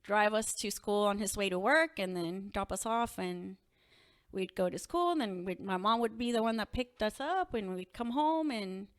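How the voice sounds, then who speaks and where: A woman from Puerto Rico, a podcast guest, telling personal stories in an animated, expressive way. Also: medium pitch, normal speed. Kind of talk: casual conversational storytelling.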